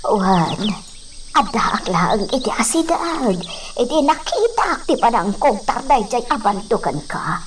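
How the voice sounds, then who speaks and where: A woman's voice: on the high side, big pitch swings, measured pace. A woman playing a concerned, caring elderly grandmother in an Ilocano radio drama, recorded slightly off-mic. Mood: knowing. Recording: faintly noisy, distant microphone.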